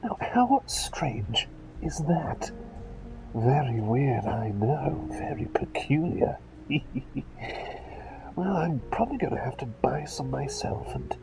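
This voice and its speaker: A man's voice: medium pitch, very animated, slow pace, long pauses, music in the background, full character voice, rough recording. A man voicing an eccentric, absent-minded plague doctor in a whispered ASMR roleplay, speaking in hesitant, polite, rambling phrases. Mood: playful, confiding. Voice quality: breathy whisper with creaky edges. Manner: hesitant, rambling, old-fashioned genteel phrasing.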